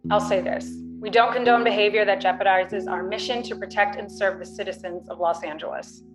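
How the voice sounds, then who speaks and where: A woman's voice: mid-range voice, steady tone, brisk, music in the background, close to an everyday voice. A woman playing a firm, no-nonsense police chief in her fifties, giving official statements in a script read. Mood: firm, guarded. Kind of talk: screenplay table read, press briefing.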